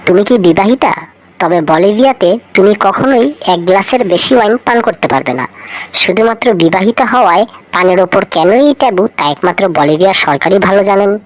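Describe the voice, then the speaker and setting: A woman's voice: mid-range voice, expressive, moderate pace, low-quality recording. A woman reading a scripted, light trivia feature, addressing the listener directly and posing rhetorical questions. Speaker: co-narrator of a feature video.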